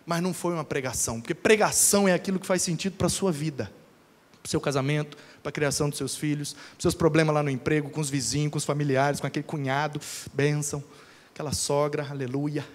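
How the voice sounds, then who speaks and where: A Brazilian man preaching as a pastor, colloquial and emphatic, with rhetorical pauses and dramatic storytelling. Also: a little high, fairly even intonation. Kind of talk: animated sermon with dramatic storytelling. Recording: long hall reverb.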